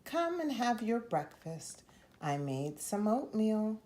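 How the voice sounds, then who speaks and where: A woman acts out a fond storybook mother who fusses kindly over her boy. Her voice lifts up and swings about in pitch. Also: sing-song, takes it slowly, dark tone, slight background noise. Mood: bright, caring. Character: caring, smiling mother of a young boy.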